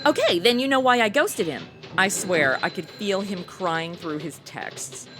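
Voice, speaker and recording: A woman's voice: medium pitch, lively intonation, measured pace, over music, natural voice. An actress playing a ditzy, flippant modern young woman, slangy and gushing, in a comedy audio drama. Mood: breezy.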